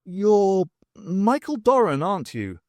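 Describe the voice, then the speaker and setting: Man putting on a testy, flustered woman professor, high-pitched. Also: big pitch swings, darkish tone, dry recording, studio quality, full character voice. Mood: brisk, flustered. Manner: brisk, testy, slightly flustered. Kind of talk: audiobook character dialogue.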